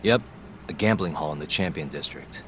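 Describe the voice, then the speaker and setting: An actor playing a cool, dryly vengeful private detective in a video game cutscene, laid-back and dry. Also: male voice, very deep voice, normal speed, a bit bright, slight background noise. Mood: cool, matter-of-fact.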